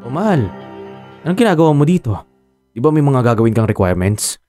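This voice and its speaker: An actor playing a steady, reassuring young boyfriend in a Filipino radio drama, earnest and soothing. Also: male voice, mid-range voice, brisk, soft background music, lightly acted. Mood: concerned, startled.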